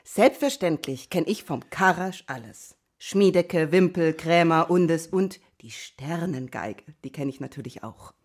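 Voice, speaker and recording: An actress playing a mocking, amused wife in a German radio drama, with a husky voice. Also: female voice, sits low, expressive, a little slow, few pauses. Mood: mocking disbelief. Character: mocking, amused wife, laughing at literary pretension. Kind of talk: German radio play acting.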